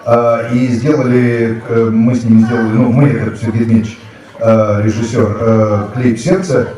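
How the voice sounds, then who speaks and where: A man's voice: medium pitch, level tone, normal speed, warm tone. A man in his fifties telling rambling anecdotes with many fillers, speaking casually to a school audience. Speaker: guest speaker, actor. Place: large hall with students. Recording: echoey hall, mic at a distance.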